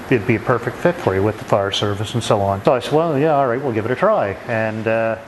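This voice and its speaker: A middle-aged man with a Maritime Canadian accent speaks in a fairly low voice. He answers interview questions reflectively and haltingly, with noticeable noise behind him. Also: rough recording. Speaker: retiring emergency dispatcher and firefighter.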